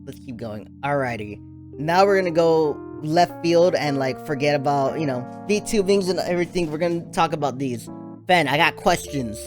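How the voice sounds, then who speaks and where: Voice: medium pitch, expressive, normal speed, over music. Giggly, chatty stream host. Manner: giggly, chatty, teasing questions. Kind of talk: casual streamed chat.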